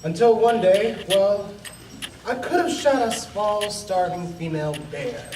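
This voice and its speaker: A man's voice: high-pitched, expressive, unhurried, background noise. A man acting a hearty reformed hunter turned nature defender, a past president, in an amateur radio play. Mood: earnest, reminiscing. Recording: echoey, distant mic, music behind.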